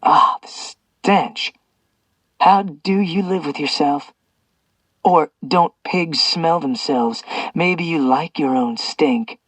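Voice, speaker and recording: Man doing cruel male captor, venomous, slow mocking drawl, husky, dry close sound. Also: medium pitch, fairly even intonation, no echo, distant mic, rough recording. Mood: venomous taunting. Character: cruel sneering male captor, vengeful and taunting. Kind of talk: audiobook character voice.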